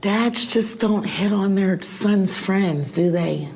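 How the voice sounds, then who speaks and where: An actress playing a concerned confidante in a screen drama, gently questioning. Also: female voice, medium pitch, slow pace, a little hiss or hum. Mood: probing.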